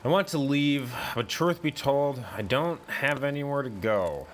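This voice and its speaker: A man narrating a first-person horror story in a deliberate, brooding, suspenseful way, as in a dramatic audiobook. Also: mid-range voice, a little hiss or hum.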